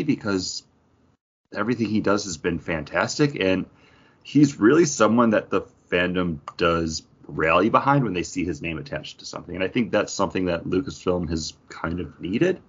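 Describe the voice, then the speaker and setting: Low-voiced man, husky, chatting casually. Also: lively intonation, moderate pace. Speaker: podcast co-host. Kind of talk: casual chat and opinion on films and TV. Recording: dull lo-fi sound.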